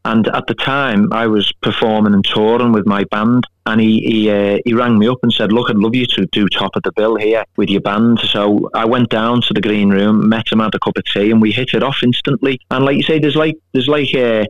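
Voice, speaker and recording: A man's voice: on the low side, steady tone, brisk, few pauses, dry recording, highs cut off. Chatty Scouse man on radio. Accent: Liverpool Scouse. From Liverpool, England. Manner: chatty anecdotes, many fillers like "sort of". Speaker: guest, writer and performer. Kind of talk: radio interview, storytelling.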